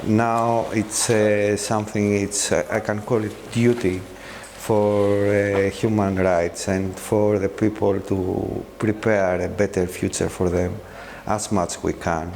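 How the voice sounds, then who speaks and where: A man from Greece speaking earnestly in a documentary interview, halting now and then. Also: fairly low pitch, level tone, gravelly, a little mellow, big-room sound, slight background noise.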